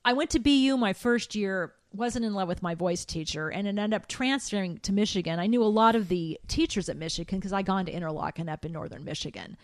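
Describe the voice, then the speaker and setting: A middle-aged woman, a trained soprano, recalling her past in an animated way, with fillers and restarts. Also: brisk, hardly any pauses, no room sound. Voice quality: high soprano singer. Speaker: guest. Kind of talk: conversational interview.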